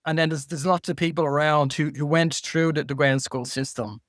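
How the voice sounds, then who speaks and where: A man answers as a podcast guest, his voice sitting a little high for a man. He talks loosely, doubling back and repeating words as he gathers his thoughts. The sound is dry and close, with no room around it. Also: fairly even intonation, fairly bright tone, no echo. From Ireland. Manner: halting, repeats words while thinking. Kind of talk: casual interview chat.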